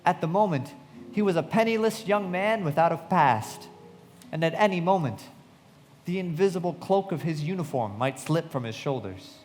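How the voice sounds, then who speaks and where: A man plays a thoughtful young narrator telling a tragic memoir, his voice a little high. The live radio delivery is measured and loyal in feeling, carried with the reverb of a hall. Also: animated, a little mellow, a little hiss or hum, lightly acted. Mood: reflective, sombre. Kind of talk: dramatic scripted narration in live radio play.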